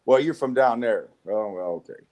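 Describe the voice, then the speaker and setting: A man in his forties with a Southwest American accent, telling rough, profane stories of his youth as an interview guest. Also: mid-range voice, moderate pace, a little mellow. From United States. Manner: rough-spoken, swearing, frequent restarts. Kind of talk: casual storytelling interview.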